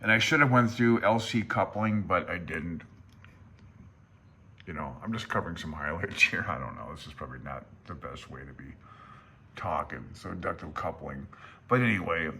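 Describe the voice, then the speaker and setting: A man's voice: low-pitched, expressive, moderate pace, sharp tone, husky. A middle-aged man thinking aloud in an informal, hesitant, meandering and self-deprecating way as he walks through a handbook at home. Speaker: amateur radio vlogger. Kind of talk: informal rambling walkthrough of a handbook. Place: small room at home.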